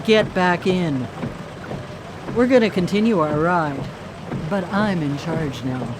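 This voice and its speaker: An actress playing a brazen, needling hitchhiker turned vigilante avenger in a live radio drama, sardonic and in control. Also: female voice, lowish voice, animated, takes it slowly, background noise. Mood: cold, menacing. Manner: taunting, sardonic, cool and in control.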